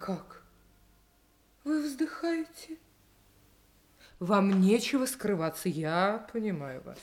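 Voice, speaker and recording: A woman's voice: mid-range voice, very animated, slow, soft background music. An actress playing a sentimental, melodramatic lady in a theatrical verse comedy, declaiming and gushing with easily stirred outrage. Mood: anxious pity.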